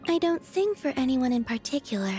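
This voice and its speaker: A woman voicing a serene, gently curious young moon goddess in a video game, soft, airy and unhurried, with a dreamy, naive manner. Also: high voice, big pitch swings, faint music behind. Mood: serene, gentle. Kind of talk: video game character voice lines.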